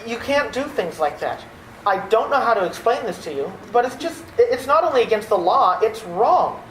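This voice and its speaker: A woman's voice: fairly high pitch, sing-song. Woman playing a flustered nephew in an echoey room. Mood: exasperated. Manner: halting, harried, repeating himself. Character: flustered, exasperated nephew, a harried theater critic. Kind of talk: old-time radio theater acting. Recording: echoey, faintly noisy stage recording.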